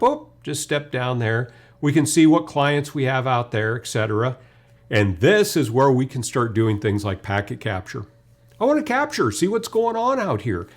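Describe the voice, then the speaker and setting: A man in his fifties with a mid-pitched voice presents a technical demonstration. He speaks conversationally and informally, walking the listener through each step as a webinar presenter would. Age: 50s. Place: indoor video studio.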